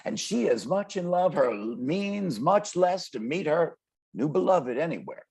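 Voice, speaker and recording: A man playing a brash, streetwise poetic performer with a gravelly, husky voice, swaggering and declamatory in a table read. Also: on the high side, sing-song, unhurried, a little mellow, heavily acted. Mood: solemn, declamatory. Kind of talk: dramatic table read, slang and verse. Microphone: video call. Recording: video call.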